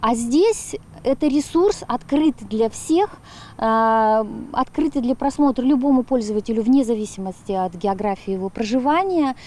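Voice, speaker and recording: A woman's voice: fairly high pitch, expressive, moderate pace, mellow. A middle-aged woman giving interview answers outdoors into a reporter's handheld microphone, searching for her words. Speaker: blog author being interviewed. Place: outdoors, grassy yard by apartment blocks.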